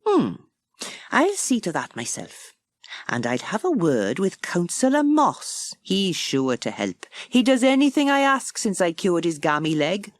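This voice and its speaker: A woman voicing a brisk, bossy, confident village doctor in a children's storybook, forthright and decisive. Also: fairly low pitch, huge pitch swings, dry recording.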